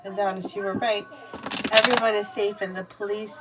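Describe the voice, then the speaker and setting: A woman's voice: mid-range voice, flat delivery, normal speed, low-quality recording. A woman giving tarot readings on a casual livestream, chatting candidly, swearing freely and calling viewers by name. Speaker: tarot reader answering viewer questions.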